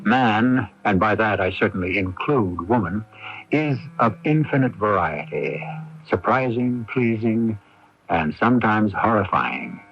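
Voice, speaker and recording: An urbane, bookish radio drama host. His narration is scripted, in a low, husky voice, on a dull old broadcast recording. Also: lively intonation, measured pace, heavily acted. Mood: measured, wry. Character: literate, urbane male storyteller host introducing a tale.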